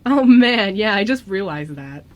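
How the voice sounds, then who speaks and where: A woman voices a forgetful, playful young guy who happens to be a ghost. The pitch moves around a lot, and the casual delivery halts and hesitates, as in a homemade radio drama. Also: expressive, measured pace, dull audio, lightly acted. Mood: playful, assured. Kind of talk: amateur online radio drama reading.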